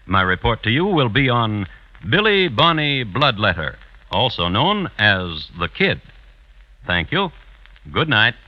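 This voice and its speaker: An actor playing a dry, deliberate crime chronicler who hosts and narrates a 1950s radio drama. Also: male voice, medium pitch, sing-song. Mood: formal sign-off. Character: dry, measured male crime chronicler hosting the tale. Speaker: narrator host of crime series. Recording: dull vintage radio recording.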